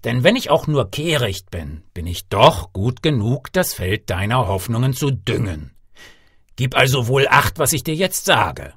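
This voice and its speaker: A man's voice: medium pitch, very animated, unhurried, a bit bright, husky, no echo, studio quality, exaggerated voice. A man voicing a ragged, talkative beggar in a fairy-tale audiobook, shrewd and kindly, giving emphatic, persuasive advice. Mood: confiding, instructing. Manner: emphatic, proverb-laden, persuasive. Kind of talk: storybook character voice in an audiobook.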